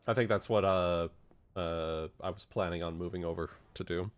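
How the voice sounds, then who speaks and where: Smooth, lowish male tabletop player. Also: steady tone, moderate pace. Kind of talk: casual chat at a game table.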